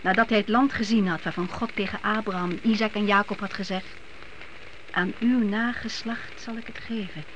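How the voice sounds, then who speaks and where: A woman's voice: mid-range voice, fairly even intonation, normal speed, fairly bright tone, lightly acted. An actress playing a devout middle-aged mother of grown sons from biblical times in a radio play, earnest and motherly. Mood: earnest, reflective. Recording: noisy old radio play recording.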